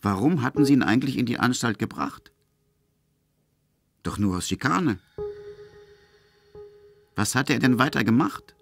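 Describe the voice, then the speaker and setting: A man's voice: medium pitch, animated, unhurried, gravelly, pauses often, faint music behind. A man acting as the narrator of a grim literary radio play, telling a madman's story in the third person. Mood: grim, indignant.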